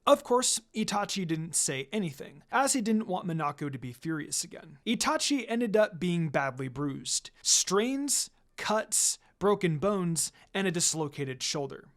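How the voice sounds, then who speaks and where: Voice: mid-range voice, sing-song, measured pace, few pauses, a bit bright. A narrator reading a fan fiction story aloud as scripted narration.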